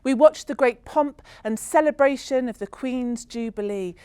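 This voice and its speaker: A woman storyteller giving a solemn, reflective spoken-word narration in a slow, ceremonial manner. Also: high voice, dark tone, clear, resonant tone, no room sound.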